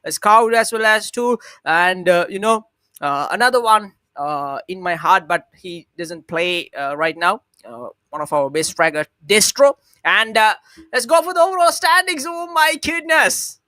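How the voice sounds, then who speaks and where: A man with a Sri Lankan English accent casts an esports tournament on a headset. His voice is bright and forceful, and he halts often with fillers and restarts. The sound is close and dry. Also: sits high, no room sound. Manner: halting, with many fillers and restarts. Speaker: esports caster. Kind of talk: esports commentary.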